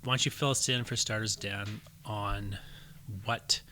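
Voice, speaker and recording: A man's voice: on the low side, steady tone, measured pace, pushed and bright, slight background noise. A man hosting a podcast about writing, talking casually and explaining his points through leading questions. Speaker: podcast host. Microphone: studio microphone.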